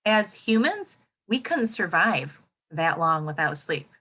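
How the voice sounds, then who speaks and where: A woman's voice: on the low side, very animated, slow pace, bright, forceful tone, slightly rough. A woman with an American accent reading aloud to children as a teacher, exclaiming and putting questions to her young listeners. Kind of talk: children's read-aloud lesson with commentary.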